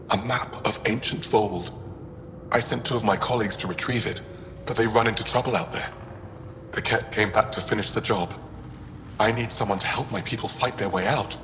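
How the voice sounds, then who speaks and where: A man's voice: lowish voice, fairly bright tone, lightly acted. An actor playing an earnest, urgent male alien historian in a sci-fi video game. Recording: long reverb, clear background noise.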